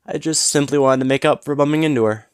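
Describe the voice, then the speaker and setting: A man playing a smug, flirtatious businessman in an audio roleplay, teasing and smooth-talking with mock politeness. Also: fairly high pitch, fast, dry recording, studio quality, lightly acted. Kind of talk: scripted audio roleplay acting.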